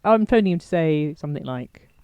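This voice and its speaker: A woman's voice: sits low, expressive, brisk, clean tone, no room sound, narrow, tinny audio. A woman co-hosting a comedy podcast, dry and understated in casual banter. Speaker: podcast co-host.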